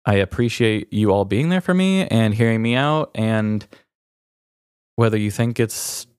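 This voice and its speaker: Low-voiced man, candid and hesitant. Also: animated, measured pace, a little mellow, clear, resonant tone, no room sound, clean studio sound. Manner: hesitant, self-revealing, informal. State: emotional from grief. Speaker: podcast host. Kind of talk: candid, unscripted casual chat.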